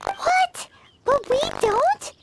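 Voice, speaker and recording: Very high squeaky childlike fairy sidekick. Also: female voice, sing-song, very slow, very noisy, exaggerated voice. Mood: surprised. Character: tiny floating childlike fairy companion, chirpy and nosy. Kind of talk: video game voice acting.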